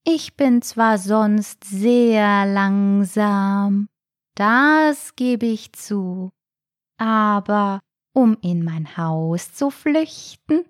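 A woman voicing a timid yet proud young sea snail with a thin voice and a drawn-out, halting delivery. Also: mid-range voice, dry recording, studio quality. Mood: proud, slow.

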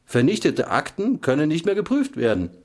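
Husky insider, dry, probing, deliberate, animated intonation, radio drama. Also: male voice, fairly high pitch, full character voice. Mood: measured, confessional. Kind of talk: political radio drama dialogue.

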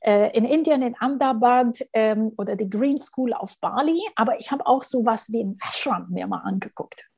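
Woman with a slightly high voice, chatty guest telling travel stories, lots of ums. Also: normal speed, creaky, hardly any pauses, husky. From Germany. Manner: lively storytelling with many fillers and restarts. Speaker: guest, school founder. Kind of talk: casual interview conversation.

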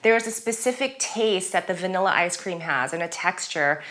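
A woman's voice: lowish voice, lively intonation, moderate pace. A woman who grew up in Germany with German as her first language, fondly reminiscing in a casual interview. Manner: warm, effusive reminiscing. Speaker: guest.

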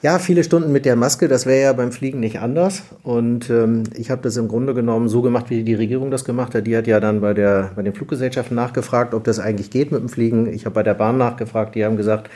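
A man from Munich speaking quickly in a casual conversation. His tone is brisk and matter-of-fact, and his pitch sits in the middle. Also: fairly even intonation, warm tone. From Munich, Germany. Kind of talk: casual chat.

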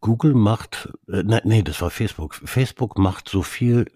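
Man, deep dark voice, husky, dry close sound, hesitant with fillers. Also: lively intonation, normal speed, dry recording. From Canada. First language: English. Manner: hesitant, many restarts and fillers. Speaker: media expert guest. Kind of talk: casual expert conversation.

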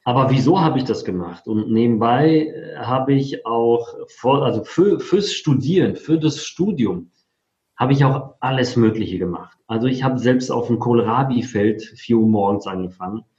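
A man's voice: mid-range voice, animated, normal speed, darkish tone. A forty-year-old man from Poland who grew up in Germany, talking casually about himself as an interview guest. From born in Poland, grew up in Germany. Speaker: interview guest, affiliate marketer. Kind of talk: casual autobiographical telling. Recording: roomy, slightly dull sound.

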